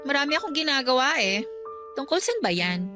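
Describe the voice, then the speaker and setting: Snooty filipina office boss, condescending and threatening, pitch all over, radio drama. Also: female voice, big pitch swings, fairly quick, music in the background. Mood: dismissive. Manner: dismissive, condescending. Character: haughty, threatening female office superior.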